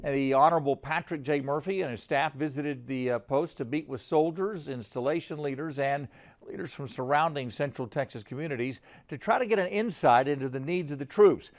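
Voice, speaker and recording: American male news anchor, scripted newscast delivery, voice a touch higher than average. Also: fairly quick.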